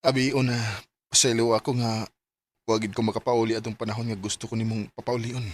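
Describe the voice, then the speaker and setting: An actor playing a devoted, gentle young suitor in a Bisaya radio drama, earnest and measured. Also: male voice, medium pitch, flat delivery, natural voice. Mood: earnest, tender. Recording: faint music behind the dialogue.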